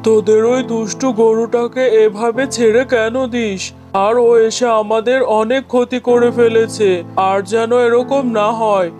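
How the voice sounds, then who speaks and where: A woman's voice: on the high side, fairly even intonation, unhurried, darkish tone, natural voice. Woman as cartoon village landlord beast, haughty, scolding, greedy, faint music behind. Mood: stern, warning. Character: stern, greedy, threatening village landlord animal. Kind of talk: children's animated fairy-tale dialogue.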